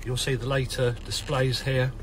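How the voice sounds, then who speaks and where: A man with a croaky, hoarse voice and a British accent, casually talking through a car's features while filming in an indoor showroom. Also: mid-range voice, normal speed, background noise. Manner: relaxed, chatty listing of features. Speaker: car salesman. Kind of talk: walkaround car sales video. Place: indoor car showroom with tiled floor.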